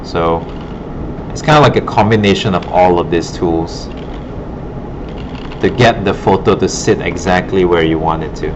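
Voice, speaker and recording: A man's voice: low-pitched, normal speed. A man giving an informal classroom art demonstration, thinking aloud casually as he works. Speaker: digital art instructor. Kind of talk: informal classroom demonstration, narrating while working. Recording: muffled, broken audio with loud room hum.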